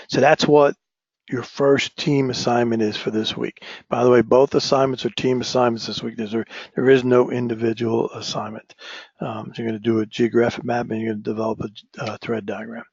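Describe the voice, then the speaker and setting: Hoarse male lecturer with a cold. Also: medium pitch, darkish tone, dry recording. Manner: frequent restarts, asks "right?" often. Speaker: instructor. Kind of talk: recorded online lecture. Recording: dull, dry lo-fi recording.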